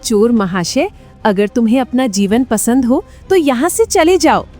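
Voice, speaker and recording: A woman playing a suspicious, sharp-tongued soldier's wife in a cartoon story, dramatic and scolding. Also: fairly high pitch, animated, a little slow, soft background music. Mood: defiant. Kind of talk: Hindi cartoon moral-story voice acting.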